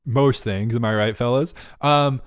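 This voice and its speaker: A man's voice: mid-range voice, a little slow, clean tone, dry recording. A man co-hosting a podcast in casual banter, teasing his friend, laughing often and tripping over his words. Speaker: podcast co-host.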